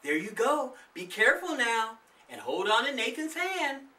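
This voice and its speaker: A man's voice: extremely high pitch, very animated, unhurried, sharp tone, roomy sound, distant mic, voice pushed up, full character voice. A man voicing a fond but firm mother of young children in a storybook reading. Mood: caring.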